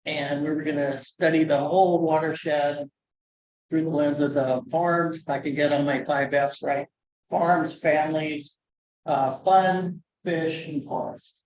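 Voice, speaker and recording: Soft-spoken man presenting in a roomy space. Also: on the high side, fairly even intonation, slow pace, muffled recording, a little hiss or hum. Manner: soft-spoken, chatty with asides and fillers. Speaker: conservation district educator. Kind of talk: conference presentation.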